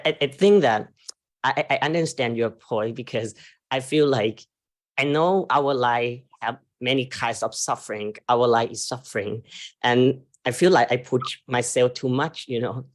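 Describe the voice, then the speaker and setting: A man with a Thai accent calling in to ask about meditation, speaking haltingly and repeating words as he looks for them. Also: medium pitch. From Thailand. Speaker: caller.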